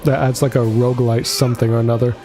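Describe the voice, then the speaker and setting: A man's voice: medium pitch, level tone, fast, warm tone. A man giving casual, jokey livestream commentary on a video game and chuckling often. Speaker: streamer. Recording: game music behind the voice.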